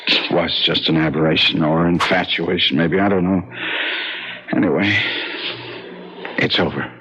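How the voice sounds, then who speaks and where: An actor playing a distraught, worn-out husband in an old-time radio drama. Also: male voice, deep voice, fairly even intonation, normal speed, sharp tone, husky, highs cut off, exaggerated voice. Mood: weary, broken. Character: distraught, guilt-ridden husband, worn out and desperate.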